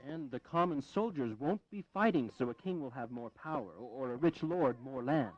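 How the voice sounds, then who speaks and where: A man's voice: mid-range voice, animated, moderate pace, no echo, close to an everyday voice. An actor playing an indignant, impatient, eloquent New England congressman in a historical audio drama, exasperated and declamatory. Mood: impatient, gloomy. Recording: dull 1980s audio drama recording.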